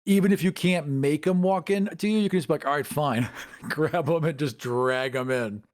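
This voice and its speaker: A man's voice: a little high, normal speed. A man hosting a casual tabletop gaming discussion, talking like a storyteller setting up scenarios. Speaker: podcast host.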